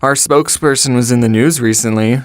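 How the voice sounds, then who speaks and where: A man's voice: medium pitch, a little fast, no room sound, studio quality. A young adult man with an American accent telling a casual comedic story to his viewers, chatty and self-deprecating with sarcastic asides. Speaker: storyteller and video host.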